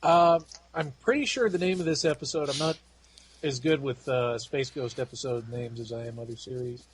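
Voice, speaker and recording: A man's voice: medium pitch, normal speed, rough recording. A man chatting casually on a fan podcast, hesitant with many fillers as he retells scenes. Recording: faint background noise.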